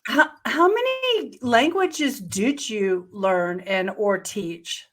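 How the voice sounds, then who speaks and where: A woman hosting an interview, asking halting questions and giving eager compliments. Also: fairly high pitch, animated. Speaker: podcast host. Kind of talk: casual interview chat.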